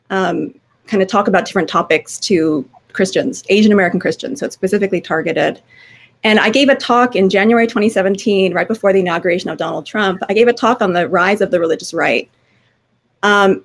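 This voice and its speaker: A woman historian with a scratchy voice giving informal panel remarks, conversational and searching for her words. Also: mid-range voice.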